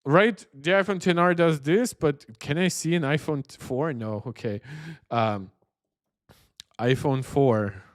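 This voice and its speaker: A man's voice: on the high side, a little slow, dry recording, clean studio sound. A man hosting a tech livestream, chatting and giving opinionated reactions as he talks directly to his viewers. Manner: conversational, opinionated, talking to viewers. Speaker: tech channel host. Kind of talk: livestream chat and reaction commentary.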